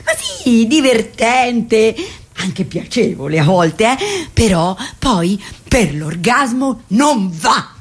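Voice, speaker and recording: An actress plays a candid woman opening up in a group therapy radio play. Her voice sits high. She tells her intimate memories haltingly, then leans on words with emphatic repetition. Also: huge pitch swings, heavily acted. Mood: candid, confiding. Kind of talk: radio theatre monologue.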